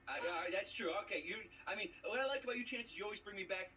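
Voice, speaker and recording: Fast, high-voiced, boastful man. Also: expressive, bright, forceful tone. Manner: boastful, mocking, emphatic. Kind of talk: brash trash talk on a video call. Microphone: video call. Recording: distant mic with clear background noise.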